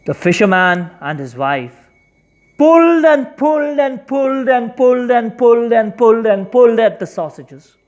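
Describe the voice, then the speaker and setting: A storyteller narrating a children's folktale expressively, with deliberate, dramatic emphasis. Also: medium pitch, lively intonation, darkish tone.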